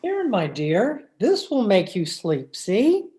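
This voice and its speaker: A henpecked, scheming husband from a radio horror play, played by a man. He coaxes slowly and deliberately, repeating himself, his pitch sliding widely up and down. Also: huge pitch swings, mellow, full character voice. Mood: coaxing, sly. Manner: coaxing, deliberate, repetitive. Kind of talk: old-time radio horror acting.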